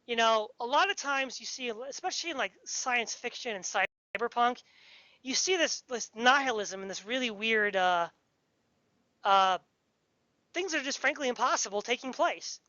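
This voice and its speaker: A woman's voice: on the high side, animated, normal speed, pushed and bright, no echo, dull audio. A woman speaking in an opinionated, casual discussion, insistent and pedantic in the way she makes her points. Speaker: podcast speaker discussing fantasy.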